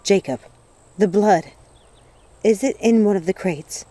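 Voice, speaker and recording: A woman's voice: mid-range voice, unhurried, mellow, lightly acted. A woman voicing a desperate, grieving young witch in a storybook, pleading with emotion. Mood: sad, tender.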